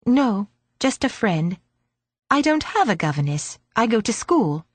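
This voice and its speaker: A woman's voice: a little high, very animated, a little slow, no room sound. A woman reading a storybook voices a polite, eager schoolgirl who is curious and well-mannered.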